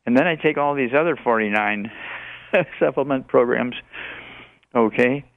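A man in his eighties with a creaky voice over a narrow phone line. He is a radio guest promoting health remedies, rambling along in repetitive, list-like answers. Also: mid-range voice, moderate pace, no room sound. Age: 80s. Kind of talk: radio call-in question and answer.

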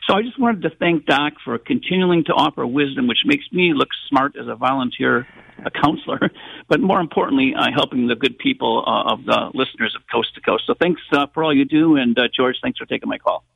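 Very gravelly male caller, muffled line. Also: mid-range voice, brisk, no echo. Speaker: caller to a radio show. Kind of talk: informal thankful call-in talk. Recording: muffled, narrow phone line.

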